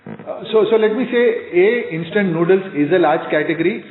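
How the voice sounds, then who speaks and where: Male executive for earnings call, halting and businesslike, slightly high voice, narrow phone sound. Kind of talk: measured business presentation and answers. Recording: echoey, narrow conference phone line.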